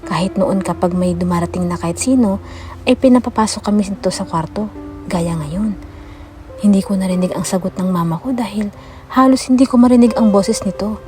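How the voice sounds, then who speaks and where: A woman's voice: medium pitch, fairly quick, a little mellow, lightly acted. A woman voicing a determined, hopeful young eldest daughter in an audiobook, earnest and reflective. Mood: uneasy, puzzled. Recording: music behind the voice.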